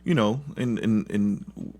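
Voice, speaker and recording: A man's voice: lowish voice, slow pace, mellow. A middle-aged man speaking earnestly and plainly as a guest in a conversational interview, like a parent telling family stories.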